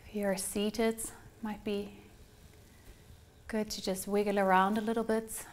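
A woman leading a guided meditation as an instructor, speaking in a soothing, unhurried, gently instructing way. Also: mid-range voice, little pitch movement.

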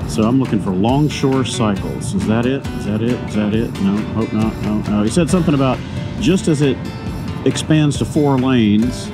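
A man riding a motorcycle and chatting casually to the camera through a helmet mic, rambling, loose and quick to swear and chuckle. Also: mid-range voice. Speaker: motorcycle vlogger. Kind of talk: casual ride commentary. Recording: music playing under the voice.

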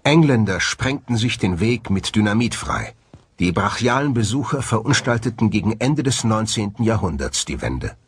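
A man's voice: lowish voice, expressive, normal speed, slightly rough. A man delivering scripted narration for a documentary. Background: background music.

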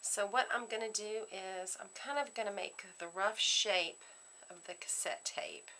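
Bright-voiced woman giving a chatty craft demo. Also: mid-range voice, measured pace, a little hiss or hum. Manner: chatty and relaxed, talking while working with her hands. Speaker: craft tutorial host. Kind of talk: casual step-by-step craft demonstration. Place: indoors at a craft worktable.

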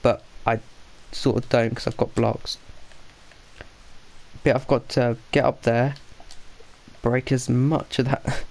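Guy with a stuffy blocked nose chatting thru his game, gravelly, mid pitch, keeps correcting himself. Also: flat delivery, slow pace, long pauses, a little mellow. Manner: rambling, self-correcting, mutters "oh dear". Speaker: gaming channel host. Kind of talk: casual gameplay commentary.

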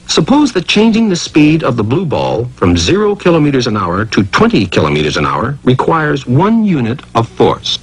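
Very animated male educational cartoon narrator. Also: medium pitch, husky, low-quality recording. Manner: theatrical, emphatic, with rhetorical questions.

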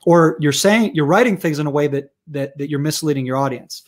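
A fairly high-voiced man in his thirties, from Israel and a native Hebrew speaker, talking with an American accent. He argues heatedly over a video call from home through wired earphones, stumbling into restarts as he pushes his case. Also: a little fast. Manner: passionate, argumentative, many restarts. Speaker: guest researcher. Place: room at home.

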